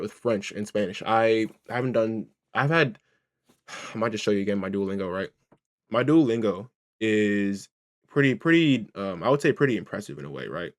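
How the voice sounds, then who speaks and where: A man's voice: fairly low pitch, a little mellow. A man rambling through casual reaction commentary, restarting his sentences, swearing freely and asking "right?" over and over. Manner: rambling, restarts, keeps asking "right?" and "you know what I'm saying?". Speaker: reaction streamer.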